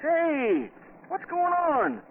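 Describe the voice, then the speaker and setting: An actor playing a cranky, loyal older sidekick, folksy and excitable, in a 1940s radio drama. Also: male voice, extremely high pitch, extremely slow, gravelly, heavily acted. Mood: curious, indignant. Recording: tinny, noisy 1940s radio recording.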